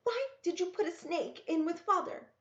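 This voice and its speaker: A woman's voice: very high voice, animated, normal speed, a bit bright, pitched above the performer's own voice. A woman voicing an angry, accusing young sister with a hissing, indignant manner in a storybook. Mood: furious. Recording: roomy, echoey, dull lo-fi sound.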